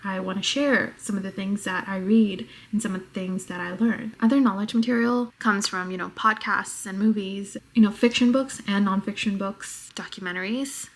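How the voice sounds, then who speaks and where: A woman in her twenties from New York with a very soft voice, talking reflectively to the camera in a casual vlog at home. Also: medium pitch, fairly even intonation, normal speed, few pauses. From New York, United States. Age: 20s. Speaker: vlogger.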